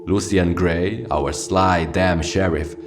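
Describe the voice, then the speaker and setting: Deep-voiced, brooding radio host played by a man. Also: steady tone, slow. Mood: wry, reverent. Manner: theatrical, poetic, profane. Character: brooding, world-weary male radio host of a wretched surreal city. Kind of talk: scripted fiction radio monologue. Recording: music behind the voice. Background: loud music.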